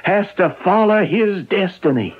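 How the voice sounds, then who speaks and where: An actor playing a brash, stubborn young husband who is cocky and bossy, in a 1970s radio drama. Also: male voice, high-pitched, lively intonation, unhurried, slightly rough, exaggerated voice. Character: brash, stubborn young husband, self-crowned would-be king. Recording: tinny, band-limited 1970s radio drama.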